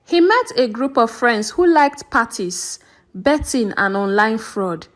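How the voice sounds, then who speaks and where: High, animated woman storyteller. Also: slow. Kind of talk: scripted folktale narration.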